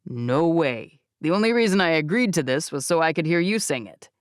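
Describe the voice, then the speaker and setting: A woman's voice: low voice, animated, moderate pace, no room sound, clean studio sound, pitched below the performer's own voice. A woman narrator voicing a teasing, easygoing young man in audiobook dialogue.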